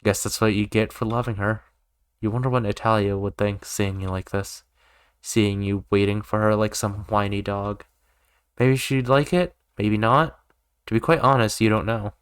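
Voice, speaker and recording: A man's voice: on the low side, fairly even intonation, moderate pace, clear, resonant tone, no echo. A man reading a visual novel aloud as a gaming YouTuber, sometimes stepping in with casual asides.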